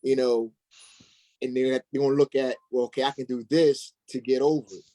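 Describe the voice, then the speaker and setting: A man's voice: medium pitch, level tone, brisk, warm tone, rough recording. A man speaking casually as a guest actor in a panel chat. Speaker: stage actor, interview guest.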